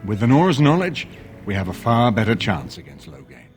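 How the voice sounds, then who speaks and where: A composed older nobleman from a fantasy video game. His voice sits fairly low but moves expressively as he lays out his case in formal, persuasive phrases. Faint music plays behind him. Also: wildly swooping pitch, a little fast. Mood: earnest, measured. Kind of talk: video game dialogue acting. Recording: game dialogue over background music.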